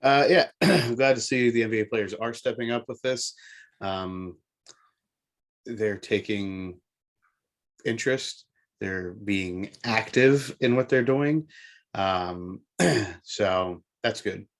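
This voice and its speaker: A man co-hosting a casual sports talk show, speaking in a halting way as he works through his opinions. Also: fairly low pitch.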